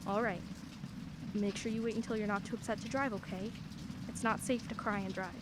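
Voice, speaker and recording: An actress playing a middle-aged single mother, soft and soothing, with self-deprecating humor. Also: medium pitch, steady tone, fairly quick, background noise, natural voice. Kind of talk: radio play acting.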